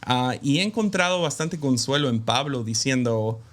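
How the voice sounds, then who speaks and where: A man's voice: medium pitch, expressive, moderate pace. A man speaking as a guest in an interview, reflective and candid, with many hesitant fillers. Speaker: guest, pastor's son and preacher. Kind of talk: conversational interview.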